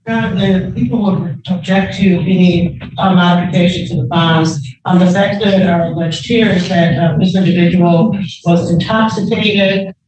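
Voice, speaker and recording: Male prosecutor w high voice, halting formal argument, super echoey and muffled. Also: steady tone. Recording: very echoey, distant, muffled, noisy.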